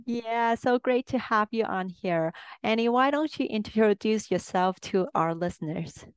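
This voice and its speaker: A woman's voice: medium pitch, animated, measured pace, smooth, no room sound. A woman from Hong Kong hosting a podcast interview, asking open questions in an encouraging way. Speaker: podcast host.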